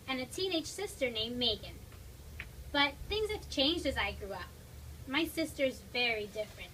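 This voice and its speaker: Woman acting a high, bright, worried little girl. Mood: fond, wistful. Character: young girl, worried, loving little sister narrating. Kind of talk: dramatic storybook reading. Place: toy shop event, before an audience. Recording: distant mic, noisy room.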